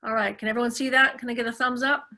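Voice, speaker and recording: Bright, upbeat Canadian woman professor. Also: a little high, fairly even intonation, fast. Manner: upbeat and enthusiastic, chatty and personal. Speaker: professor, guest speaker. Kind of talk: lecture presentation. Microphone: video call.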